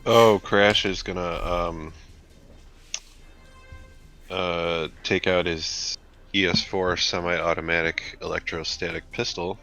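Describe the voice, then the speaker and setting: A man playing a tabletop role-playing game, casually and haltingly announcing what his character does. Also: low-pitched, steady tone, bright, forceful tone, faint music behind.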